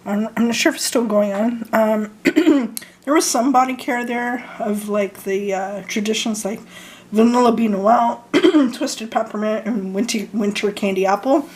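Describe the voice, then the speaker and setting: A young woman from Canada chatting casually about her fragrance purchases, hoarse and losing her voice, hesitant with many ums and frequent throat clearing. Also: fairly high pitch, a little slow, a little mellow, low-quality recording. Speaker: vlogger showing fragrance purchases. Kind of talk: casual haul chat.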